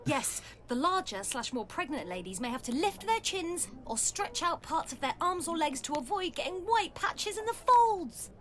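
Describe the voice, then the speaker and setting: An actress playing a blunt, sardonic pregnant schoolteacher with a dramatic way of telling things, in an audio play. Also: female voice, very high voice, big pitch swings, moderate pace, hardly any pauses, fairly bright tone, full character voice. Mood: indignant.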